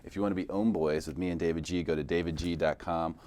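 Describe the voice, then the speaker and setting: A man hosting a local talk show, interviewing guests in an upbeat, lively way. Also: sits low, quick pace, a little hiss or hum. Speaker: radio show host.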